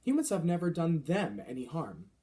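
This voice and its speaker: A man's voice: on the high side, big pitch swings, moderate pace, mellow. A man voicing an earnest, questioning young girl from a children's storybook, who objects urgently and bravely. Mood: protesting.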